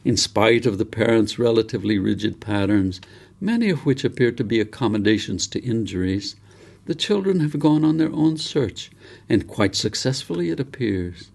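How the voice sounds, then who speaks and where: A man narrating as an ancient, wise village elder in a novel, with a gravelly voice that lifts above a low register. He is solemn yet hopeful, delivering counsel in deliberate, oratorical phrases. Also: animated, darkish tone.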